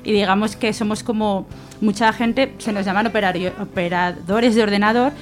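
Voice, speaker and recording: A female radio guest with an accent from Spain and a medium-pitched voice. She explains things in a conversational way, as a worker being interviewed, over faint music. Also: steady tone, moderate pace.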